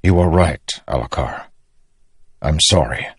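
A man voicing a stern, weary older uncle of a king in a fantasy audiobook, firm and measured. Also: extremely low pitch, breathy edge, no room sound, clean studio sound, heavily acted. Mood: regretful. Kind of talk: fantasy audiobook character acting.

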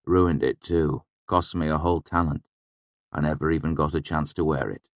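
A man voicing a sardonic, composed scribe with a grudge in a storybook, speaking in a measured way. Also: a bass voice, steady tone, dry recording. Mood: angry, bitter. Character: dry, aggrieved male scribe, sharp and composed.

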